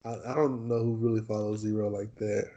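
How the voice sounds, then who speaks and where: A man's voice: on the low side, flat delivery, a little fast, warm tone. A man in his twenties who grew up in Texas, co-hosting a casual podcast chat in a laid-back way, repeating himself and laughing easily. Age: 20s. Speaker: podcast co-host.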